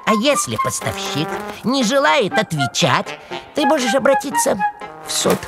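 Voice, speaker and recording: A woman's voice: high voice, huge pitch swings, normal speed, heavily acted. A woman voicing a cheerful, knowing little mouse who explains things in an educational cartoon. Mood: instructive. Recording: loud music behind.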